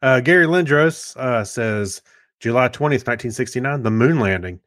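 A man's voice: medium pitch, animated, normal speed, fairly bright tone, dry recording. Easygoing male podcast co-host, dry close sound. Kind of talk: casual chat, reading listener comments.

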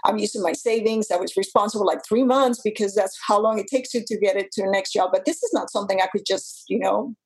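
Interview guest: woman, early fifties, husky voice, earnest, halting, restarts a lot. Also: medium pitch, poor audio. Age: 50s. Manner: earnest, many restarts and fillers. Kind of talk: interview talk.

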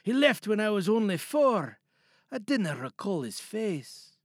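A man's voice: sits high, sing-song, measured pace, dry recording, studio quality, voice pushed up, full character voice. A man playing a shy, hurt student reading aloud to a class in an audio monologue, hesitant and apologetic, holding anger under strained politeness. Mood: restrained bitterness.

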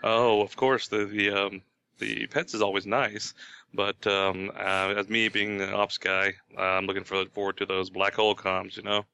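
A man's voice: fairly low pitch, level tone, normal speed, creaky, sharp tone, clear, resonant tone. A man chatting casually on a podcast roundtable, rambling with frequent restarts and a joking edge. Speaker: podcast guest writer.

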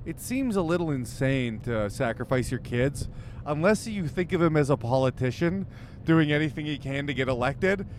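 A man co-hosting a podcast while driving, trading sarcastic, deadpan quips in casual chat. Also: fairly high pitch, normal speed, slight background noise. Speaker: podcast co-host. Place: driving a car.

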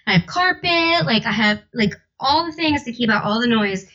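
A woman's voice: on the high side, lively intonation, moderate pace, pushed and bright. Woman, youthful light voice, chatty, laughs a lot, slightly muffled lo-fi sound. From New Jersey. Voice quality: young-sounding voice. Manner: chatty, laughs often, many fillers. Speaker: audiobook narrator guest. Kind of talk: casual podcast interview. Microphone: home recording booth.

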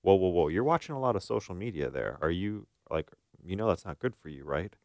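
A man's voice: deep voice, animated, a little fast, no echo, clean studio sound. A man co-hosting a talk show as a therapist, discussing informally and thinking aloud as he goes. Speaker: podcast co-host, therapist. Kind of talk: casual discussion.

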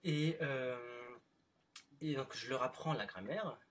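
Man, podcast host, casual, relaxed, lots of mhm backchannel. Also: medium pitch, slow pace, a little hiss or hum. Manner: relaxed, frequent backchannel murmurs. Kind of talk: casual conversation.

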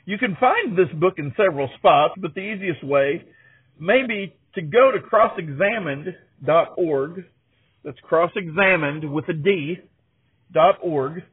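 A man's voice: high voice, slightly rough, narrow, tinny audio. A man hosting a podcast, presenting in a conversational style. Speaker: podcast host.

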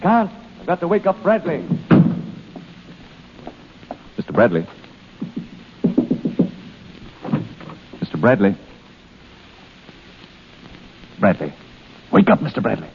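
An actor playing a brisk, urbane schemer in a hurry in a 1930s radio drama. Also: male voice, mid-range voice, wildly swooping pitch, gravelly. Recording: tinny, noisy 1930s radio recording.

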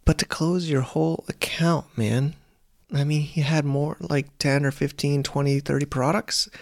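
Gravelly late-30s man ranting. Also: a little high, steady tone, moderate pace. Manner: exasperated, repetitive ranting with frequent "man". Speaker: internet marketer. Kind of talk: casual vlog monologue.

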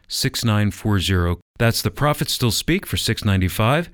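An American man reading a scripted radio promotion as an announcer, measured and clearly enunciated. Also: fairly low pitch, dry recording, studio quality. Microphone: close studio microphone.

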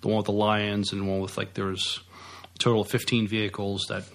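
A man co-hosting a podcast, chatting casually about news with halting, stammering starts. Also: on the low side, flat delivery, vocal fry, a bit bright. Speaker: podcast co-host.

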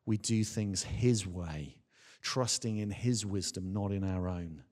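A man, a Baptist minister, preaching a sermon in an earnest, emphatic way with rhetorical questions and deliberate repetition. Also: deep voice, a little mellow. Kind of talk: sermon to a congregation. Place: large church or hall, speaking from a stage. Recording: reverberant hall with long echo.

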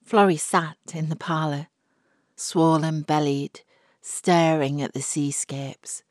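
A woman narrating a dramatic short story with deliberate, expressive phrasing. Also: deep voice, no echo, clean studio sound. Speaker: audiobook narrator.

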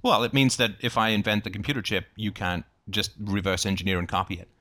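A man's voice: lowish voice, quick pace, sharp tone. A man thinking aloud in an informal debate, explaining through hypothetical examples as he goes. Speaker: book club discussion host.

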